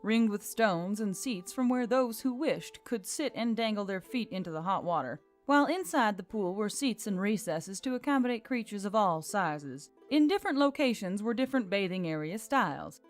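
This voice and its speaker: A woman's voice: medium pitch, expressive, moderate pace, hardly any pauses. A woman reading scripted fiction as an audiobook narrator. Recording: music behind the voice. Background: music.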